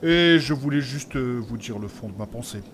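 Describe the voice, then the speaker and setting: An actor playing a formal, principled religious mage in an audio fantasy comedy, courteous and wordy in an old-fashioned way. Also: male voice, mid-range voice, lively intonation, normal speed, fairly bright tone, a little hiss or hum, lightly acted. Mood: sincere.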